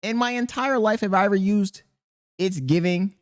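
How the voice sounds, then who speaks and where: A man with a squeaky voice talking casually and profanely to camera as a content creator, rambling and animated. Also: normal speed, dry recording, studio quality. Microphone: podcast dynamic mic.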